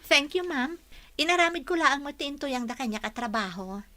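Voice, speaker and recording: A woman's voice: sits high, animated, normal speed, bright, forceful tone, no room sound. A woman with an Ilocano accent playing a polite, modest and cheerful young office trainer in a radio drama. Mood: pleased, modest.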